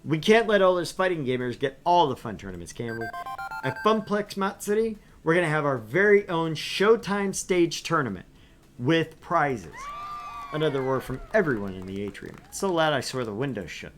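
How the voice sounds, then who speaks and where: A man hosting a let's play, reading a visual novel aloud with lively, animated delivery and joking asides. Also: a little high, normal speed, soft background music.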